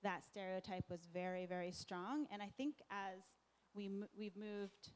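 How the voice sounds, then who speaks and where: Woman, young adult, panel speaker, laughs often, filler-heavy, mid-pitched. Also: steady tone, moderate pace, clean tone. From Japan and New Jersey. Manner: laughs often while recounting, many fillers. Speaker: panelist filmmaker. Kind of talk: panel discussion answers.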